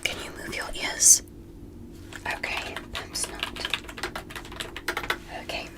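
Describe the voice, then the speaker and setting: A woman whispering close to the microphone in a gentle, careful ASMR roleplay as a polite, curious alien medical examiner. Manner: gentle, slow, careful step-by-step explaining.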